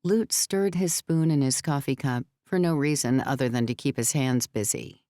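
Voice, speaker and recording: A woman narrating scripted fiction as an audiobook, in a measured storytelling manner. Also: a bass voice, warm tone, no room sound, clean studio sound.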